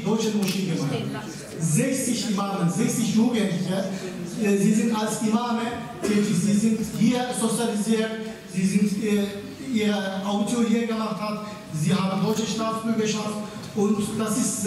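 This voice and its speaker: A man, answering questions from a panel in a halting, earnest way. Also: high-pitched, crowd noise. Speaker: mosque representative on panel. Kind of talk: answering at a public meeting. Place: large community hall. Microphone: handheld mic. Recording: echoey hall, far off.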